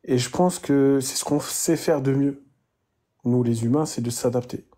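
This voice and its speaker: Un homme d'une trentaine d'années, invité d'une conversation sur la foi, parle en cherchant ses mots. Sa voix est légèrement voilée, un peu rauque. Il se reprend souvent et répète ses mots. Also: male voice, medium pitch, mellow. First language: Arabic. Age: 30s. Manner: hesitant, halting, repeats words. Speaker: guest. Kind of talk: emotional conversation about faith.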